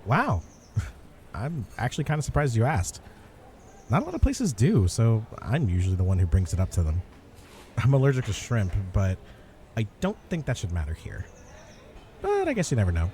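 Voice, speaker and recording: A man's voice: fairly low pitch, huge pitch swings, normal speed, pauses often, a little mellow, slight background noise. A man playing a friendly, awkward and chatty cafe customer in a comedy audio roleplay, hesitant and rambling. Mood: chatty, upbeat. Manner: hesitant, rambling, good-natured. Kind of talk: ASMR comedy roleplay acting.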